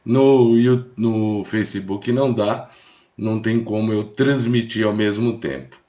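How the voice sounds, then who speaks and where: A man's voice: lowish voice, fairly even intonation, unhurried, warm tone. Reassuring Brazilian man, dull lo-fi sound. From Brazil, born in Rio de Janeiro. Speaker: live stream host. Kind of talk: casual live stream chat. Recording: dull, lo-fi live stream audio.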